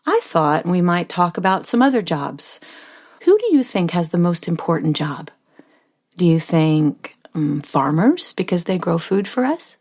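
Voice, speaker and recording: An American woman playing a stressed, devoted mother of a small daughter in a first-person audio drama, speaking in a confiding, expressive way that moves between tenderness and exasperation. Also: lowish voice, unhurried, husky, exaggerated voice. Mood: gentle, tender.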